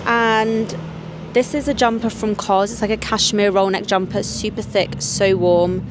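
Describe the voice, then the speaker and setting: A young woman talks easily to camera in a relaxed vlog, her pitch sitting in a middle range for a woman. She sounds chatty and upbeat, with plenty of casual fillers. Faint traffic noise hums behind her. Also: measured pace. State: voice going, getting sick. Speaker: vlogger.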